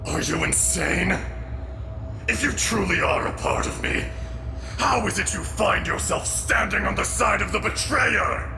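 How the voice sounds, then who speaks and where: An actor playing a vengeful ancient male dragon who shouts with scorn, over game music. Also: sits high, huge pitch swings, measured pace, creaky, full character voice. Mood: furious. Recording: game audio with loud music behind.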